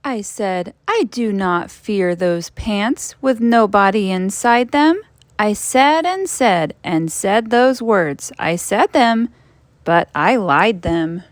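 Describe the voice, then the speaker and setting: A woman teacher reading a storybook aloud to children, expressively, with dramatic emphasis and suspenseful drawn-out phrases. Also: mid-range voice, animated, dry recording, studio quality.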